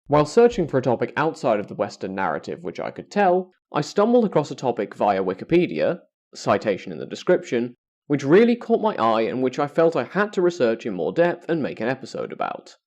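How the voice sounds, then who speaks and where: A man presents scripted educational material as a podcast host, at a middling pitch. His intonation rises and falls in a lively way, while the wording stays formal and carefully structured. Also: fairly quick.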